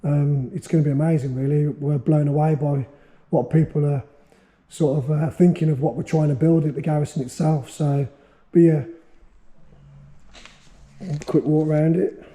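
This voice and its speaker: A man with a hoarse, fading voice from a sore throat, hosting a casual workshop vlog and rambling with many fillers. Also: on the high side, steady tone, normal speed, pauses often, dark tone, poor audio. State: losing his voice, sore throat. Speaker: workshop owner and channel host.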